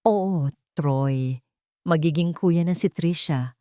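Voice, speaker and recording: A woman voicing a gentle, forgiving young wife and mother with a sincere, measured delivery. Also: low voice, no room sound, studio quality. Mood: nervous, happy.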